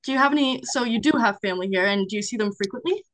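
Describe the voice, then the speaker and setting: A woman's voice: medium pitch, rapid-fire, fairly bright tone, no room sound. A young woman, a student conducting a class interview, reading a formal opening and then chatting in a friendly way. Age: young adult. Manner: friendly, scripted intro then chatty, laughs often.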